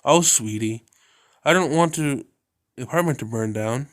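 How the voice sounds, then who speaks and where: A man reading a visual novel aloud, voicing a sweet, clingy young woman with a dark streak, coaxing and affectionate, in a smooth, dry voice. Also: medium pitch, normal speed, no echo, close to an everyday voice. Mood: soothing.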